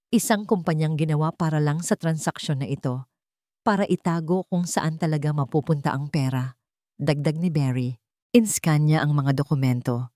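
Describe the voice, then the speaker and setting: Filipino woman narrating drama, low-pitched, dry sound. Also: warm tone, no echo. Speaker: story narrator. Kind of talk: scripted dramatic narration of a romance drama, voicing the dialogue.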